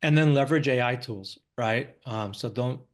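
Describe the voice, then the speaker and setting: An American man speaks as an investor giving a live, informal talk. His voice sits at an average pitch. He explains things conversationally and often checks that listeners follow. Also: moderate pace. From Los Angeles, United States. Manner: conversational, frequently checks in with "right?". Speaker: venture capital investor giving a presentation. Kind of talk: informal live startup presentation.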